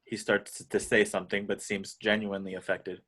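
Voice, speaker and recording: Male narrator, fairly low pitch, reads screenplay action descriptions for an amateur table read. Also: little pitch movement, moderate pace, fairly bright tone. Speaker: narrator reading stage directions.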